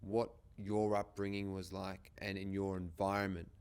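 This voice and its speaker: Aussie guy hosting a podcast, really low voice, pretty flat delivery, casual chat. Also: normal speed, clear, resonant tone. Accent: Australian. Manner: informal, occasional swearing. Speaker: podcast host, interviewer.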